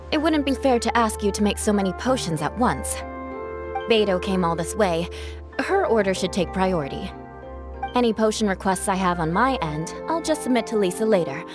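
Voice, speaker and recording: An actress playing a polite, earnest young female knight in a video game, measured and formal. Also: mid-range voice, animated, natural voice. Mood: considerate. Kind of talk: video game character voice acting. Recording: music behind the voice. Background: game music.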